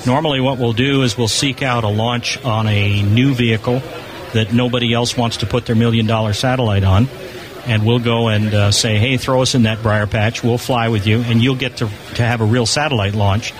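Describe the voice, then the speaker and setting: Busy crowd chatter surrounds an American man with a medium-pitched voice. He speaks as an enthusiastic spokesman, explaining his field informally to an interviewer. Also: fairly even intonation, moderate pace. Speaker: amateur satellite organization spokesman being interviewed. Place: convention hall exhibition booth. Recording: busy crowd noise behind, convention floor.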